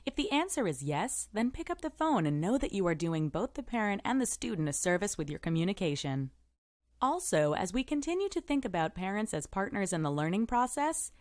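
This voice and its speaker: A woman presenting scripted instructional narration, giving encouraging, clearly structured advice as a podcast presenter. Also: mid-range voice, no echo, clean studio sound.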